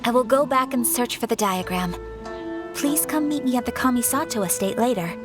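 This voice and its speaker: A woman's voice: on the high side, expressive, normal speed, music in the background, close to an everyday voice. A woman playing a refined young noblewoman in a video game, speaking in a polite, composed and formal way. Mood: composed, earnest. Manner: poised, formal, courteous. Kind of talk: video game story dialogue.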